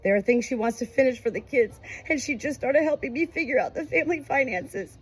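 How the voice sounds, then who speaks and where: A woman's voice: high voice, lively intonation, brisk, breathy edge, pitched above the performer's own voice. A woman voicing a distraught, pleading young husband and father in an emotional, urgent audiobook reading.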